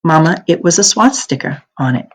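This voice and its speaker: A woman's voice: on the low side, lively intonation, moderate pace, slightly rough. A woman reading the lines of a thoughtful, sensible young girl, an older sister in a storybook, in an earnest manner.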